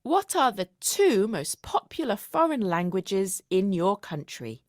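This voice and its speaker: Lively woman interviewer. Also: mid-range voice, a little slow, a little hiss or hum. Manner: reads questions clearly.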